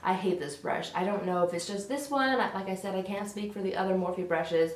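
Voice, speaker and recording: A young woman vlogging about beauty products, her voice a little raspy and hoarse as she gets over a sore throat, chatty and frank. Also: medium pitch, brisk. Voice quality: slightly raspy. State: hoarse, recovering from strep throat. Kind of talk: casual product review to camera. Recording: roomy echo.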